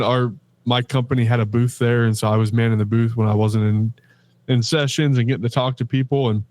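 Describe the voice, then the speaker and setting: A middle-aged man chatting casually as a podcast co-host, his voice sitting at an ordinary, mid-range pitch. He tells stories in a loose, rambling way, full of ums and restarts. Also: a little mellow. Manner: rambling, chatty storytelling with many fillers. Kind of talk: casual chat.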